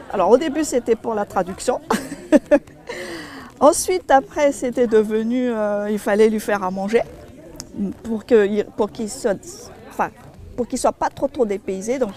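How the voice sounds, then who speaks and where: A woman's voice: fairly high pitch, normal speed, mellow. A woman from Madagascar speaks informally in a heartfelt testimonial, repeating words for emphasis. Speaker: charity association member.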